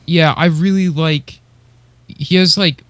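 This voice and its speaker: A man's voice: fairly high pitch, fairly even intonation, slow, a bit bright, dry recording. A man co-hosting a podcast in casual chat, hesitantly searching for words as he explains his opinions. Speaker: podcast co-host.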